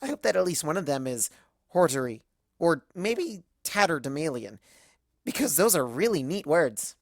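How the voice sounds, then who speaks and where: A woman's voice: low voice, big pitch swings, normal speed, no echo, studio quality, full character voice. A woman voicing a hyper, cheerful, scatterbrained cartoon pony who rambles gossipy first-person fan fiction narration. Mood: chatty, rambling. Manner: bubbly, rambling, gossipy, exclamatory.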